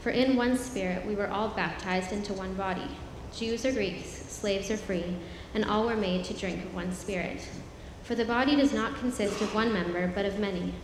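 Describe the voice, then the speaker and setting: A woman reading a scripture passage aloud in church in a steady, measured way. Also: medium pitch, noisy background. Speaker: scripture reader. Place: church sanctuary. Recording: very echoey church hall, heard from afar.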